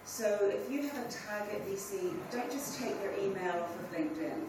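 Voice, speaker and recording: A middle-aged woman speaks to a conference room in a relaxed, direct way, often restarting her phrases. She is picked up from a distance, so her voice rings around a very reverberant hall, and steady background noise runs underneath her. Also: medium pitch, normal speed. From New Zealand. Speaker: panel speaker. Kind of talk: informal conference talk. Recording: very echoey hall from far off, with ambient noise.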